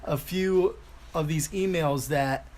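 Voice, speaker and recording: A man's voice: a little high, takes it slowly, a crowd in the background. A man hosting a casual talk podcast, upbeat and teasing as he reads announcements and needles his co-host. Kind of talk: casual chat.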